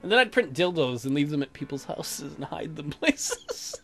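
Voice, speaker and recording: A man's voice: fairly high pitch, very animated, normal speed, fairly bright tone, faint music behind. A man hosting a let's play, casually commenting and reading aloud in an irreverent, joking, sweary way.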